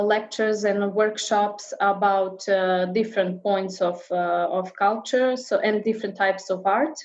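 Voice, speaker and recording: A woman answering webinar questions as a festival founder, speaking carefully and pausing often to find her words. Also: medium pitch, a little mellow, muffled recording. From Serbia.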